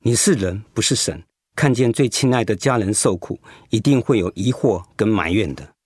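A man acting in a radio drama as a gentle, sympathetic doctor who explains and consoles in a measured way. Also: on the low side, breathy edge, no echo, studio quality. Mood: consoling. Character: gentle male doctor, calm, sympathetic hospital director.

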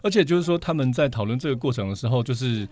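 Fast-talking Taiwanese man chatting. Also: medium pitch, expressive, crowd noise. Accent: Taiwanese Mandarin. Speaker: guest, vinyl record collector. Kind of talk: casual podcast conversation.

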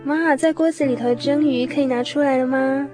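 Woman playing a high-voiced helpful young mother. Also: fairly even intonation, brisk, music in the background, close to an everyday voice. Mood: helpful, busy. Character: helpful young mother and dutiful daughter-in-law. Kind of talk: radio drama acting.

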